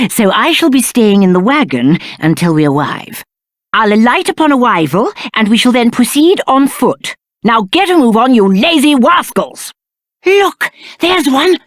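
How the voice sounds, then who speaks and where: A man's voice: very high voice, a bit bright, no room sound, studio quality, exaggerated voice. A male actor playing a spoiled, cowardly young prince in a video game, with a British accent and haughty, whiny, aristocratic diction. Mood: haughty, commanding, then eager, bossy. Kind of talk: theatrical video game character acting.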